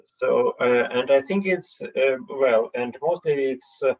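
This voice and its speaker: A man's voice: medium pitch, slow pace, darkish tone. Hesitant man. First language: Russian. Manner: hesitant, many filler sounds. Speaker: seminar participant. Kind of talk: online meeting remarks. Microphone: computer mic on a video call. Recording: dull lo-fi call audio.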